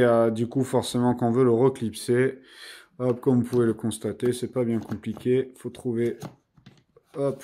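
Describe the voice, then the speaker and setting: A man's voice: mid-range voice, dark tone. A man giving a casual hands-on product review in an informal, mildly sweary and self-deprecating way.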